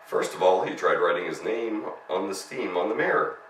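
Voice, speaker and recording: A man's voice: low voice, animated, moderate pace, a bit bright. A man narrating a children's story as a storyteller, reading expressively. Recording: roomy, distant mic, faint noise.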